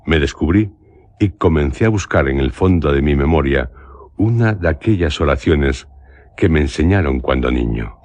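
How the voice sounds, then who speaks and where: An actor playing a melancholic traveller narrating a literary radio drama, solemn and measured, with a Castilian accent. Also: male voice, very deep voice, lively intonation, a little mellow, no echo, heavily acted. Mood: solemn, contemplative. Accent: Castilian Spanish. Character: solemn, melancholic male traveller recounting an eerie journey.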